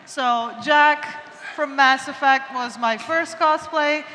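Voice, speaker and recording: A woman's voice: sits high, slow, sharp tone. A young woman from Croatia speaking as a convention panelist, making dry, teasing remarks about her husband. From Croatia, Split. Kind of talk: casual convention talk. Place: convention lecture hall. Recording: reverberant hall with faint noise.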